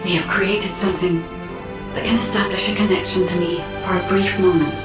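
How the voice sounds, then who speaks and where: Echoing female voice, fairly low, devoted artificial being played by a woman, solemn, formal, game cutscene, music behind. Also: steady tone, close to an everyday voice. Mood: solemn, resolute. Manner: formal, deliberate. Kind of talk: video game voice acting. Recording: echoey, distant, loud music behind.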